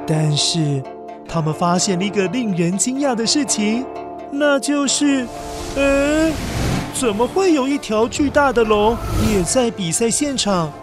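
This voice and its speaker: A man narrates a children's story in an expressive, dramatic way, with exclamations and sound words. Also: high voice. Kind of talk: animated scripted children's storytelling. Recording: music behind the voice. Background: music.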